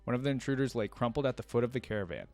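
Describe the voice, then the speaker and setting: A man's voice: mid-range voice, lively intonation, rapid-fire, darkish tone, dry recording. A man reading a fantasy story aloud and breaking off for casual, informal commentary. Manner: informal, tag questions like "right?". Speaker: reaction video host.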